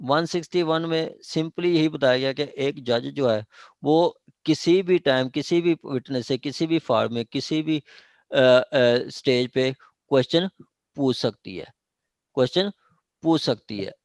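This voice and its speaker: A man's voice: medium pitch, a little slow, dry recording. A man with a Pakistani accent giving an informal online law lecture, explaining by repeating points and checking that listeners follow. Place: online meeting.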